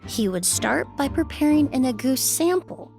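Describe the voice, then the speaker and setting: A woman's voice: high-pitched, lively intonation, moderate pace, gravelly, over music. A woman playing a composed, clever perfumer in a video game, explaining things in a precise way. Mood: assured, explaining.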